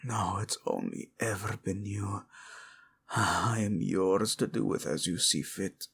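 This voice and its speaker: An actor playing a devoted, passionate prince and husband in an intimate audio roleplay, with a breathy, husky, murmuring delivery. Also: male voice, lowish voice, fairly even intonation, slow, a bit bright, a little hiss or hum, full character voice. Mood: adoring, breathy.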